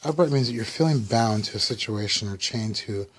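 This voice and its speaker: A man with a slightly raspy voice, casually talking through a weekly tarot reading with loose, rambling explanations. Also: lowish voice, fairly quick. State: slightly raspy, under the weather. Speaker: tarot reader.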